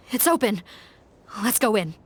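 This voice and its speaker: An actress playing an earnest female police captain in an anime video game, crisp and authoritative. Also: a little high, big pitch swings, slow pace, background noise. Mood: urgent. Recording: background game music.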